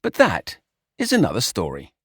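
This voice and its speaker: A man's voice: lowish voice, huge pitch swings, moderate pace, background noise. A man reading a comic short story aloud in a wry, dry storytelling manner. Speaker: narrator. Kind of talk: storybook narration.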